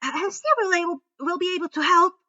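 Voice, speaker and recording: A woman's voice: very high-pitched, sharp tone, muffled recording, pitched above the performer's own voice. A woman voicing an eager, urgent young witch in a fantasy story reading. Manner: quick, urgent.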